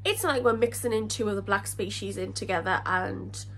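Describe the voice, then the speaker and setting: A woman's voice: mid-range voice, fairly quick, fairly bright tone. A woman in her thirties with a congested, slightly crackly voice from a cold, hosting a fish-keeping channel and chatting to the camera in a rambling, self-correcting way. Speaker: aquarium channel host. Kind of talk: casual talk to camera. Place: living room in front of a fish tank.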